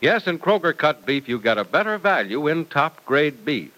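A man announcing and pitching a product on 1940s radio, emphatic and salesy. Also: sing-song, moderate pace, sharp tone, low-quality recording.

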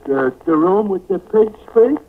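An actor playing a slow-witted, weary tavern regular with halting, dopey delivery in a 1940s radio comedy. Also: male voice, high voice, big pitch swings, full character voice. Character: slow-witted, tired tavern regular, a dim-bulb man. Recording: scratchy, narrow-band 1940s radio recording.

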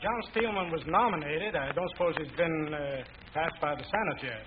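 A man's voice: a little high, moderate pace. A man answering as a contestant on a radio quiz, explaining things in a precise, matter-of-fact way. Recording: noisy lo-fi vintage radio broadcast.

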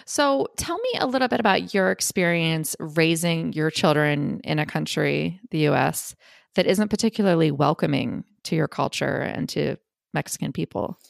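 A woman interviewing a guest, asking questions in an earnest, measured way. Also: deep voice, gravelly, dry recording, clean studio sound.

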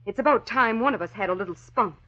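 An actress playing a self-willed, rebellious eighteen-year-old daughter in a 1940s radio drama, with a sharp, defiant delivery. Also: female voice, a little high, quick pace. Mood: angry, defiant. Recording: dull, lo-fi old radio recording.

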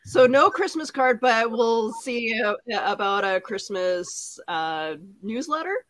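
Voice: mid-range voice, lively intonation, slow, a bit bright, no echo. A podcast co-host chatting casually in a friendly, teasing way.

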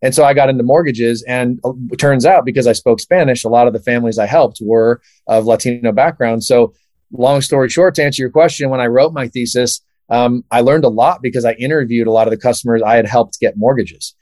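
A man's voice: mid-range voice, fairly even intonation, fairly quick, a little mellow, no echo. A man in his thirties from Southern California, answering interview questions eagerly with many fillers. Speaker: guest, startup founder.

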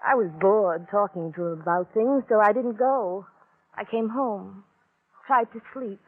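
A woman's voice: mid-range voice, unhurried, slightly rough. A woman playing a world-weary, bitterly romantic stage type with a languid, dramatic manner in a radio drama. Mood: wistful, bored. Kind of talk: 1950s radio drama acting. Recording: narrow-band vintage radio recording.

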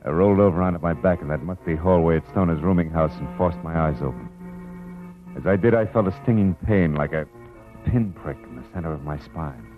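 Hardboiled detective, American, played by a man: deep register, dry wisecracks, weary edge, vintage radio noir sound. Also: fairly quick, natural voice. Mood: world-weary. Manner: wry, clipped, hardboiled. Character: tough, weary, wisecracking male private detective. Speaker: lead actor and narrator. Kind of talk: 1940s-50s radio noir acting, hardboiled narration. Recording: old muffled radio recording with music behind.

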